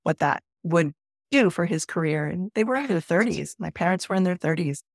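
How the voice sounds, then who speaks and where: A middle-aged woman with a Canadian accent whose first language is Spanish, telling her own story as a podcast guest in a reflective, measured way. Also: lowish voice, animated, husky, no echo, clean studio sound. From northern Alberta, Canada, born in Peru. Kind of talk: personal storytelling in an interview.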